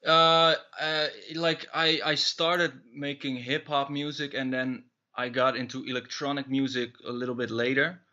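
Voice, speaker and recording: A man in his thirties with a Dutch accent and a bright, forceful tone speaks haltingly, filling pauses with fillers. His voice comes through dull, muffled call audio. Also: medium pitch, little pitch movement. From Netherlands. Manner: hesitant, many fillers and restarts. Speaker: musician guest. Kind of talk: casual interview answers.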